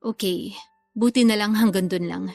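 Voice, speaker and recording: A woman's voice: medium pitch, moderate pace, creaky, darkish tone, soft background music, natural voice. A woman acting a teasing, guilty lover in a secret affair, with a Filipino accent, in a radio love drama. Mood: placating.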